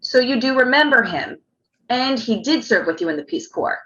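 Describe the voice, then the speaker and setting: A woman's voice: on the high side, lively intonation, moderate pace, a bit bright. A woman playing a relentless news reporter in a script read, putting pointed, accusatory questions, in a roomy, slightly dull recording. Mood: pointed, accusing. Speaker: actor in a virtual table read. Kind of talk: screenplay table-read acting.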